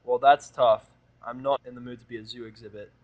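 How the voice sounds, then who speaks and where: A man's voice: mid-range voice, fairly even intonation, a little fast, highs cut off, natural voice. A man voicing a hostile, sarcastic human who snaps and rants angrily in a fan audio drama. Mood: dismissive. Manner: snappish, ranting, swearing. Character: bitter, hostile, sarcastic human male misanthrope.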